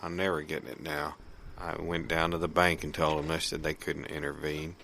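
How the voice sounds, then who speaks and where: A man playing a gruff, deadpan country fellow, exasperated but humoring, in improvised audio comedy. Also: a bass voice, moderate pace, a little hiss or hum, full character voice.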